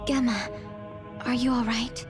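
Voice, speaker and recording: A woman playing a perky, candid teenage girl in a video game, expressive and informal. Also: a little high, unhurried, music in the background, lightly acted. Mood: curious. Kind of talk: scripted video game voice acting.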